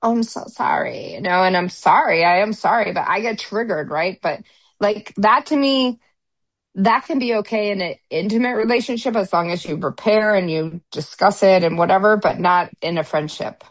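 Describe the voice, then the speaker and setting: A woman's voice: mid-range voice, moderate pace, no echo. A middle-aged woman speaking bluntly and emphatically in a candid podcast chat, often restarting her sentences.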